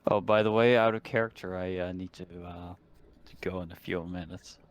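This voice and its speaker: A man playing a tabletop game, talking casually in a halting, musing way, with faint noise on the recording. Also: low-pitched, fairly even intonation, muffled recording.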